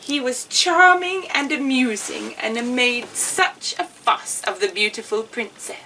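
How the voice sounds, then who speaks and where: A woman reading a children's fairy tale as a storyteller witch, in a theatrical, measured way. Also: fairly high pitch, sharp tone, recorded from a distance, slight background noise, low-quality recording. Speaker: storyteller playing an amusing witch.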